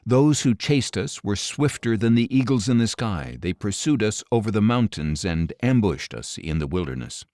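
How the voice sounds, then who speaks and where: Male scripture narrator, lower register, solemn and deliberate phrasing, very dry studio-style sound. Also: animated, darkish tone, no echo. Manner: measured, grave delivery with deliberate phrasing. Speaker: audiobook narrator.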